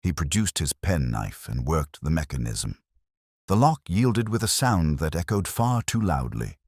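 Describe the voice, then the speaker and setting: A man narrating a scripted mystery story as an audiobook narrator, in a measured, solemn way. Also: rumbling low, very animated, darkish tone, husky, no echo, clean studio sound.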